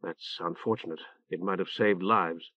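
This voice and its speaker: A man voicing a gentle, thoughtful male leader in an audiobook, soft and measured. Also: deep voice, fairly even intonation, dry recording, lo-fi sound, pitched below the performer's own voice. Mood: grave.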